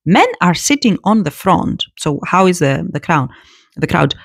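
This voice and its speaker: Lively low-voiced woman commentator. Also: a little slow, vocal fry, husky, no echo, studio quality. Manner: animated, repeats words and restarts phrases. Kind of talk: casual spoken commentary.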